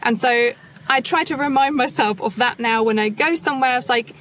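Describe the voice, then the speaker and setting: A woman consultant speaking as a podcast guest, measured and thoughtful, with frequent soft fillers. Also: a little high, a little hiss or hum, rough recording. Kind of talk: podcast interview.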